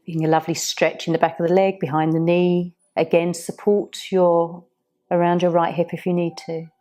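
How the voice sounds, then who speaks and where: Soothing low-voiced woman teaching yoga. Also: flat delivery. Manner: soft, soothing, unhurried guidance. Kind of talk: guided yoga class instruction.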